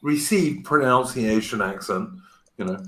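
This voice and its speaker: A man's voice: medium pitch, measured pace, a bit bright, echoey room. A middle-aged man with a British accent carrying a South African and Australian twang, hosting a casual livestream chat, blunt and bantering, with the odd swear word. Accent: mixed British with South African and Australian twang. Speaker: stream host.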